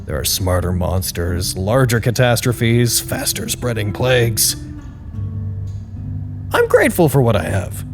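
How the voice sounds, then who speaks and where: A man's voice: lowish voice, huge pitch swings, a little slow, music in the background, heavily acted. A man playing an earnest, candid site staffer in an audio drama, speaking a reflective and wryly formal log to unseen superiors. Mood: reflective, grateful.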